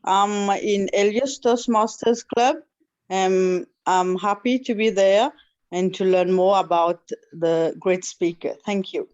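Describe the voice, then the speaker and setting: Woman from Mauritius, meeting guest; slow, polite, dull call audio. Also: mid-range voice, fairly even intonation, darkish tone. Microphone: video call audio.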